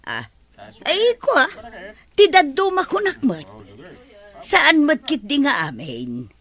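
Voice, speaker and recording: A woman's voice: high voice, huge pitch swings, slow pace, a bit bright, full character voice. A woman with an Ilocano accent voicing a gossiping middle-aged neighbor in a radio drama. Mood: gossipy. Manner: chatty, gossiping.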